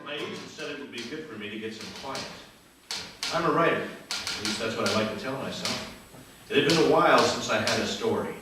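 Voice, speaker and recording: A man playing a wry mystery writer, narrating a noir parody deadpan in live radio theater. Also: mid-range voice, brisk, music in the background, lightly acted. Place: theater stage, live performance. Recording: echoey theater, distant, faint noise.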